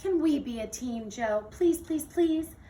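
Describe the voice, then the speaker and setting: A woman's voice: high-pitched, lively intonation, a little slow, mellow, pitched above the performer's own voice. A woman voicing an earnest, careful young girl inventor in a children's storybook, insistent and easily flustered. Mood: pleading. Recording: distant mic with faint noise.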